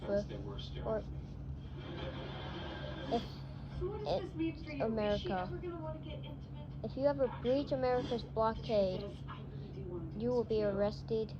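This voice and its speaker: A woman with a somewhat high voice reads out an emergency announcement. Her delivery is halting and amateur. The audio is dull and lo-fi, with crowd noise behind her. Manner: halting, stumbling reading.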